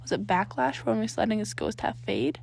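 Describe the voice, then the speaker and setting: A woman's voice: medium pitch, sing-song, moderate pace, gravelly, darkish tone. A woman reading a story aloud as a scripted narrator. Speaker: podfic reader.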